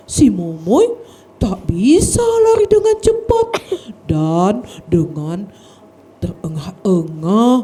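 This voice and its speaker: Animated woman storyteller for children. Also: medium pitch. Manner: lively, sing-song, addresses kids as friends.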